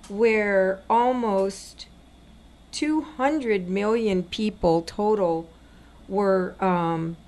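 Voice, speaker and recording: A woman's voice: medium pitch, a little mellow. A woman co-hosting a political talk show, speaking conversationally and earnestly, repeating points for emphasis. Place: inside a gun store.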